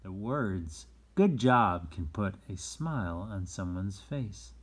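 A man's voice: low voice, expressive, takes it slowly, darkish tone, breathy edge. A man hosting a children's read-aloud, informally reading a picture book with asides and explaining things simply for young listeners.

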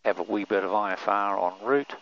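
An older man, a pilot giving relaxed cockpit commentary through a headset mic, with many fillers. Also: lowish voice, a little fast. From New Zealand. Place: small aircraft cockpit in flight. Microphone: aviation headset boom mic. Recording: muffled intercom audio with engine drone.

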